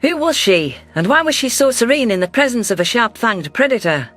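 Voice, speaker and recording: A man narrating a science fiction audio story in an even, scripted delivery. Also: extremely high pitch, fairly quick, faint music behind.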